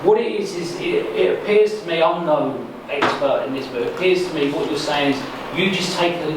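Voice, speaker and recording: A man facilitating a recovery workshop discussion, measured and reassuring. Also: a little high. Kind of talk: group discussion. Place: large meeting hall. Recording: echoey hall recording from far off, noisy.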